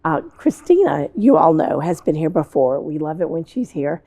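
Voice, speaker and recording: Lady tv host doing an interview, soft dark tone, kinda husky, encouraging. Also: low-pitched, big pitch swings, moderate pace. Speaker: TV show host. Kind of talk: conversational interview.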